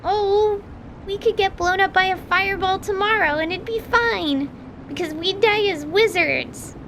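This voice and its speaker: A woman playing an excitable young apprentice magician girl full of wide-eyed wonder in a fantasy audio drama. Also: extremely high pitch, measured pace, a little hiss or hum, exaggerated voice. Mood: excited, delighted. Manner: wide-eyed, gushing, exclaiming.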